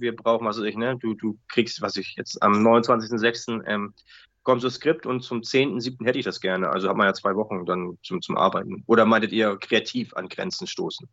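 Fast-talking man, chatty and slightly muffled. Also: mid-range voice, no room sound. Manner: colloquial, rambling, animated storytelling with tag questions. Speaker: interview guest, audio drama writer. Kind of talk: casual interview chat.

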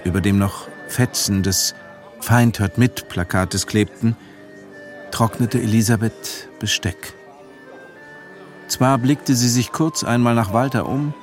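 A man reading scripted literary narration as the narrator of a radio play. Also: on the low side. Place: studio.

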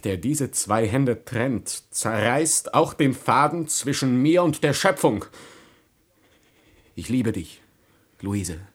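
An actor playing a passionate, defiant young nobleman in love in a classical tragedy radio play, declaiming in a husky voice. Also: on the low side, exaggerated voice. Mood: defiant, ardent. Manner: declamatory, impassioned.

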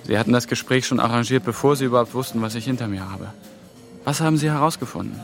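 An actor playing a weary, irritated seminar participant in a naturalistic radio drama, with a curt, conversational delivery. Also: male voice, mid-range voice, fast, vocal fry, soft background music, close to an everyday voice.

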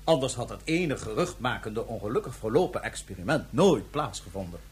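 A man's voice: medium pitch, very animated, fairly quick, slightly rough. An actor playing a suave, mocking imperial ruler in a Dutch science fiction radio drama, theatrical and condescending in his ornate phrasing. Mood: taunting.

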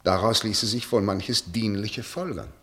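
An actor in a German radio play playing a severe, probing prosecutor who speaks in measured, declamatory, rhetorical phrases. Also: male voice, fairly low pitch, fairly bright tone, roomy sound. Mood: probing, hesitant. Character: formal, probing, severe male prosecutor, eloquently moralizing.

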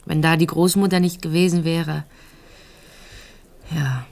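A woman's voice: low-pitched, steady tone, a little slow, gravelly. An actress in a radio drama playing a talkative, naive and frank young Ukrainian-born woman who tells her life in a rambling, conversational way. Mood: subdued, resigned. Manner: rambling storytelling, occasional hushed phrases.

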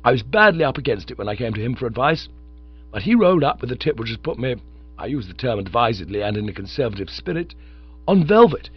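A man voicing an excitable, scatterbrained young gentleman who chatters eagerly and breathlessly. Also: medium pitch, quick pace, breathy edge. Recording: dull lo-fi recording with mains hum.

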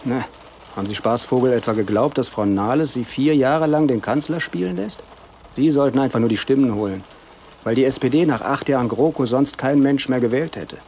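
A man's voice: medium pitch, fairly quick, darkish tone, slight background noise, close to an everyday voice. Actor as dry, cynical hitman. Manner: dry, matter-of-fact. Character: cynical, matter-of-fact male hired spy and hitman. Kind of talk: satirical radio play dialogue.

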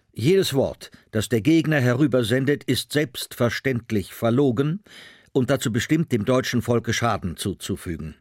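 A man's voice: mid-range voice, a little mellow, no echo. Sober man reading official documents, dry sound. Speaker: reader of historical documents.

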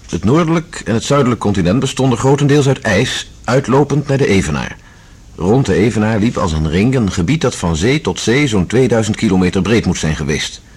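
An actor playing an earnest, methodical scientist-explorer in a Dutch science fiction radio drama, speaking in a measured, matter-of-fact way. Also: male voice, lowish voice, darkish tone, breathy edge, full character voice.

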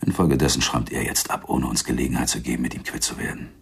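An actor playing a menacing, boastful gangster with a gravelly husky voice, talking tough street slang in a radio crime drama. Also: male voice, a bass voice, steady tone, quick pace, exaggerated voice. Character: menacing, boastful male gangster, war veteran with grudge.

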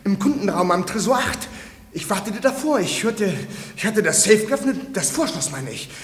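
An actress in a radio play playing an agitated bank attendant who speaks urgently in short, breathless phrases. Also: female voice, medium pitch, lively intonation, moderate pace, close to an everyday voice. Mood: alarmed. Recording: echoey hall, faint noise.

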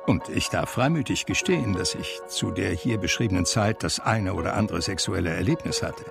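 An actor playing a blind man from a cultured New York family, reminiscing with measured, articulate, gently wry delivery in a German radio drama. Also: deep voice, creaky, loud music behind the voice. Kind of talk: German radio drama first-person narration.

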